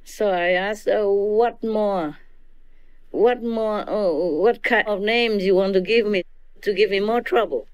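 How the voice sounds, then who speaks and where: A Vietnamese-accented woman gives a relaxed teaching to a small group of disciples. She talks slowly and chuckles often, with a clean, smooth voice that sits close and dry in the recording. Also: mid-range voice, dry recording, low-quality recording. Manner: chatty, laughs often, keeps checking in with listeners. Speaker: spiritual teacher.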